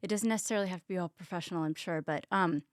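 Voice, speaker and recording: A woman's voice: on the low side, very fast, no room sound, studio quality. A Texan woman in her thirties, chatting casually as a health coach guest, with lots of ums. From Texas. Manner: chatty, many ums, laughs at herself. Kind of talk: casual interview chat.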